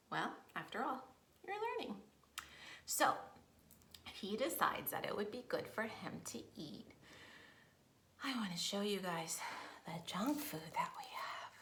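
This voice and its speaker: Higher-voiced woman in an echoey room. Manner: encouraging, playful, addressing children directly. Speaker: children's yoga teacher and storyteller. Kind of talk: interactive storytime yoga lesson for young children. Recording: roomy echo with faint noise.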